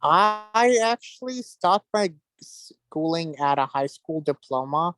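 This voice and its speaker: A man's voice: on the high side, lively intonation, slow pace, fairly bright tone. A man from the telling personal stories casually and openly as an interview guest. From Middle East (Iraq).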